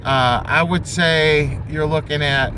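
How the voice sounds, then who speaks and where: A man with a slightly high voice talks through his opinions on investing, off the cuff. His delivery is halting, as if thinking aloud, and there is clear vehicle-like noise behind him. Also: steady tone. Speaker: amateur investor commentator. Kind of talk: unscripted opinion monologue on investing. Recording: clearly noisy, vehicle and outdoor-like background.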